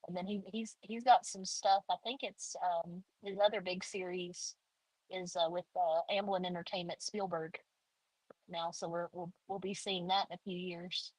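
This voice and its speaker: A woman author answering interview questions in a relaxed, hesitant way, with many fillers. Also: on the low side, moderate pace, slightly rough, no room sound, poor audio. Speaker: author guest.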